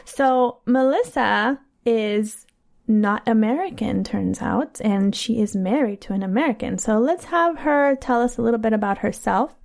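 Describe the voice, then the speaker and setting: Upbeat woman podcast host, slightly high. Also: a little slow, vocal fry, darkish tone. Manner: upbeat, drives the conversation. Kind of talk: casual podcast chat.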